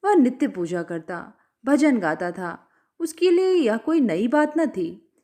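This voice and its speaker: A woman narrates a written story in a high voice. Her delivery is expressive and very lively in intonation, as in an audiobook performance. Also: slow pace. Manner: expressive, acts out dialogue. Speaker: storyteller reading short fiction aloud.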